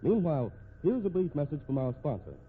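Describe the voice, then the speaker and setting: A man's voice: fairly high pitch, very animated, brisk, phone-quality sound. A man announcing a 1950s radio mystery in scripted narration, theatrical and emphatic. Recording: thin, noisy old radio recording.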